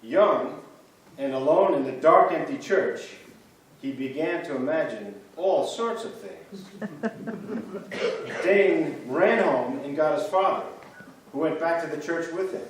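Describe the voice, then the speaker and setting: A man's voice: on the high side, unhurried, long pauses, a little hiss or hum. A man reading a church history script aloud in reader's theater, telling the stories with a storyteller's build-up. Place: large church sanctuary. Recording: echoey church from far off.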